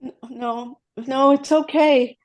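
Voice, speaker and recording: Woman acting desperate mother, high voice, pleading, hesitant repeated phrases, fearful, film drama table read. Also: steady tone. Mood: anxious, subdued. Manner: halting, pleading, repeats herself. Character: desperate, frightened mother obeying her child's kidnapper.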